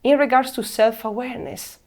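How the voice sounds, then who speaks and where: A woman in her thirties from Italy with an Italian accent, giving a measured presentation that slows down to stress key words. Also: fairly high pitch, fairly even intonation, a little mellow. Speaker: program presenter. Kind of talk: scripted presentation.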